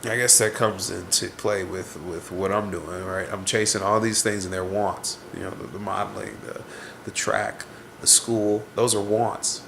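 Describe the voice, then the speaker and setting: Vlogger, young man, low gravelly voice, stuffy nose, rambling and introspective. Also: fairly even intonation, measured pace, background noise. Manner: rambling, introspective, searching for words. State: congested. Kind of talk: casual reflective venting to camera.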